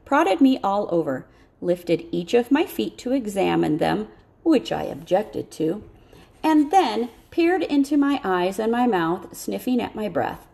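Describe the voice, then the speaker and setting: Female audiobook narrator, mid-pitched with noticeable creak, expressive, careful first-person storytelling. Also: unhurried.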